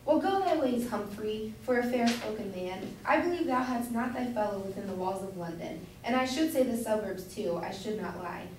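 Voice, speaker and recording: A woman's voice: fairly high pitch, lively intonation, normal speed, hardly any pauses, darkish tone, lightly acted. A woman playing a chatty, opinionated citizen's wife in an amateur staged reading of an old English comedy. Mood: approving. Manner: chatty, bossy, archaic English. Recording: very echoey room, distant mic, faint noise.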